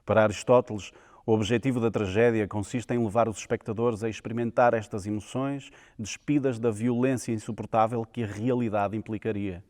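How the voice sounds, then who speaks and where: Mellow European Portuguese male narrator, reverberant hall. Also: fairly low pitch, fairly even intonation, fairly quick. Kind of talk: scripted educational narration.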